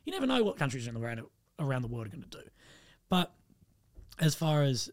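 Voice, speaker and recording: A man's voice: medium pitch, very animated, fairly quick. A man co-hosting a podcast in easygoing, joking chat. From Australia. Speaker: podcast co-host. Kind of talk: casual chat.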